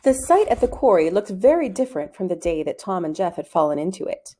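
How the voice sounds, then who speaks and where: A woman reads a children's story aloud. Her tone is soft-edged and mellow, and her pitch moves in a lively, expressive way as she narrates. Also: brisk.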